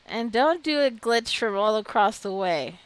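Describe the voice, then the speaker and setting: A woman's voice: fairly high pitch, animated, measured pace, smooth, dry recording. A woman giving casual gameplay commentary, chatty and giggly, often talking straight to her game characters. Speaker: gameplay video creator.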